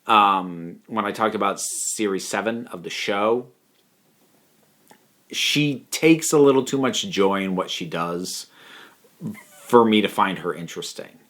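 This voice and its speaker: A man giving a casual spoken review, thinking aloud in a halting, thoughtful way with emphatic stresses on key words. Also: fairly low pitch, expressive, fairly bright tone. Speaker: online reviewer.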